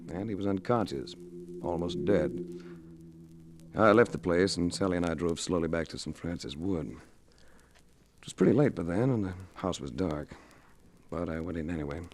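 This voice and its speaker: Hard-boiled American detective, low voice with wide swings, clipped and tough, vintage radio drama. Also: male voice, a little mellow, a little hiss or hum. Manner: terse, tough, deliberate. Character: hard-boiled, cool-headed male private investigator. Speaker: lead actor and narrator. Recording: vintage 1950s radio recording.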